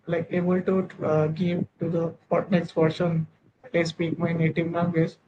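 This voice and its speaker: A young man in his twenties from Odisha with an Indian English accent, talking haltingly with many fillers and restarts while practising English with a tutor. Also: high voice. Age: 20s. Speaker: English learner. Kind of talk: online speaking practice session. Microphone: video call. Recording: dull lo-fi call audio with steady noise.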